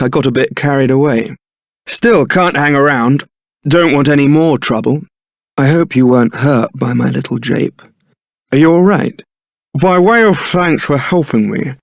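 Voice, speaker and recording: An actor with a British accent playing a suave, roguish young templar knight in a video game, polite and teasing. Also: male voice, medium pitch, no room sound, studio quality, natural voice. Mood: playful, suave. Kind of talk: scripted video game character acting.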